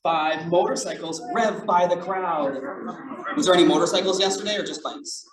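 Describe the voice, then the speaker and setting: A person reading picture books aloud to children in an animated, encouraging way, with chatty asides. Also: medium pitch, normal speed, crowd noise. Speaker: drag storytime reader. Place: echoey indoor room, library event. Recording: roomy, noisy, distant mic.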